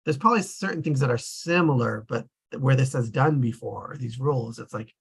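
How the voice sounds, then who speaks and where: A man hosting a table read group, talking casually in an animated, rambling and informal way. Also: medium pitch, fairly quick, vocal fry, breathy edge.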